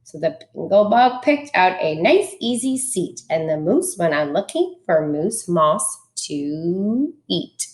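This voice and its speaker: A woman's voice: fairly low pitch, sing-song, slow. A woman reading a children's storybook aloud expressively, like a schoolteacher.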